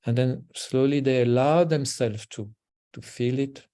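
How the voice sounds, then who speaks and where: Gentle male parenting coach, soft repetitive delivery on a video call, mid-pitched and warm. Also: steady tone, smooth. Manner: gentle, slow, repeats words softly. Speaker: parenting coach, guest speaker. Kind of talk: webinar talk.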